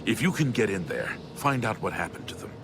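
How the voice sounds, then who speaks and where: An actor playing a weary but determined city guard captain in a fantasy video game, commanding and exasperated. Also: male voice, on the low side, sing-song, brisk, noisy background. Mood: grim resolve.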